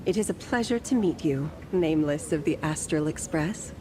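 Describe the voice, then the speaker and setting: A woman voicing an ancient, serene female guardian in a story game, with formal, measured, gently teasing phrasing. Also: sits low, animated, background noise. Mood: amused, composed.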